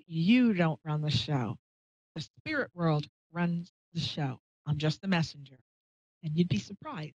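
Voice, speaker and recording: Lady hosting a live call-in show, lowish voice, kinda scattered and chatty, muffled roomy sound. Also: darkish tone. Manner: chatty, scattered, self-deprecating. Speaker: radio talk show host, psychic medium. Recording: dull, roomy live stream audio.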